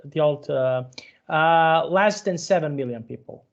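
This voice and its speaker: A man in his late thirties, speaking a little high for a man, teaching English patiently. He talks in halting bursts and keeps restarting his sentences. The sound is dull and lo-fi, as on an online call. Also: creaky. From Serbia. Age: 30s. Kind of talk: one-on-one online English lesson.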